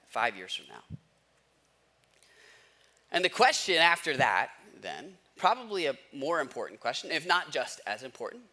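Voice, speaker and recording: A man's voice: fairly high pitch, bright, forceful tone. A man giving a conversational sermon as a pastor, asking rhetorical questions and repeating key phrases for emphasis.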